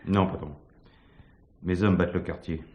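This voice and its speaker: An actor playing a deferential, streetwise police detective with a laconic, colloquial manner in a French crime drama. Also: male voice, low voice, steady tone, normal speed, lo-fi sound, close to an everyday voice. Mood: matter-of-fact.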